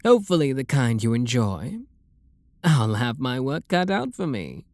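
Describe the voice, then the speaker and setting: A man with a British accent playing a refined, possessive and seductive vampire lord in an intimate ASMR roleplay, speaking softly and coaxingly with a breathy, husky voice. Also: mid-range voice, sing-song, dry recording. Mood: flirtatious, hungry. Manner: soft, coaxing, flirtatious, unhurried.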